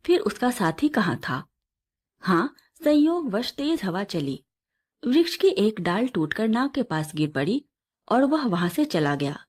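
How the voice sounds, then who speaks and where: An earnest male seeker in a storybook tale, read by a woman. He is inquisitive, respectful and formal, speaking at a middle pitch with animated intonation. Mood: disbelieving. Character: earnest, curious male seeker questioning his guru.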